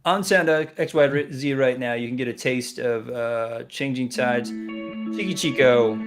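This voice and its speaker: A man's voice: mid-range voice, measured pace. A man hosting a music discovery radio show, talking casually in a rambling, playful way with many fillers. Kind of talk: casual chat.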